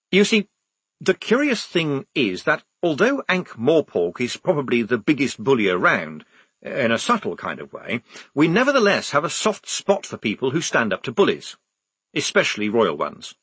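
A slightly nervous newspaper editor, done by a male reader, makes his case persuasively. His husky voice moves up and down lively. The recording is dry and close. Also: medium pitch, moderate pace, no echo, heavily acted. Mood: explaining.

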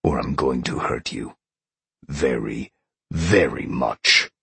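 A man voicing a gruff, impatient lion shapeshifter in an audiobook, growling and bluntly sardonic. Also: extremely low pitch, lively intonation, unhurried, no room sound, heavily acted. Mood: menacing. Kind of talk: audiobook character acting.

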